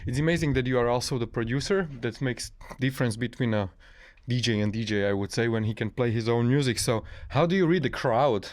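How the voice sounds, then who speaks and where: A man's voice: mid-range voice, a little fast, few pauses. A man who speaks English as a second language, hosting a casual, chatty radio interview. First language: not English.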